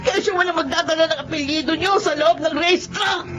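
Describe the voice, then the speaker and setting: An actress playing a tough, bitter young street boxer and wronged daughter in a Tagalog radio drama. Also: female voice, very high voice, brisk. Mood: anguished, accusing. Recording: muffled lo-fi audio under loud music.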